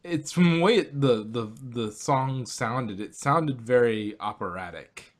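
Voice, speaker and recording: Slow, halting man chatting. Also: medium pitch, animated. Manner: laughing, halting, many fillers. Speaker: podcast co-host. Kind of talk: casual review chat.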